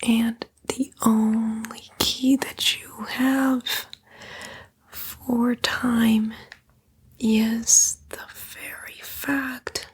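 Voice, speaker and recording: A woman voicing a smug, seductive female demon, a fallen angel, in a breathy, coaxing ASMR roleplay whisper. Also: a little high, exaggerated voice. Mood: sly, tempting. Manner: slow, coaxing, taunting, drawn-out whisper.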